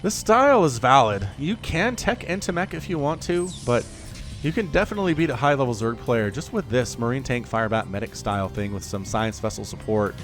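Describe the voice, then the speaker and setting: A man in his thirties giving solo play-by-play commentary on a strategy game match, chatty and excitable with jokey asides. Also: mid-range voice, fairly quick, over music. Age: 30s. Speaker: game caster.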